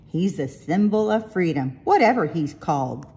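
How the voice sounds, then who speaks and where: A woman reading a rhyming picture book aloud with expressive, deliberate delivery. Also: fairly low pitch. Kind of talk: storybook read-aloud in rhyming verse.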